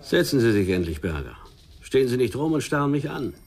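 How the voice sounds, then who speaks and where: A man's voice: medium pitch, very animated, a little fast, darkish tone, breathy edge, soft background music. A man playing an exasperated, condescending police superior in a crime radio play. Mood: impatient. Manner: commanding, reproving.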